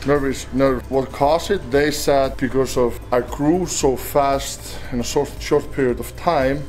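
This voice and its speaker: A man answering interview questions casually, halting and repeating words. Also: medium pitch, fairly even intonation, darkish tone, faint music behind. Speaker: interviewed athlete.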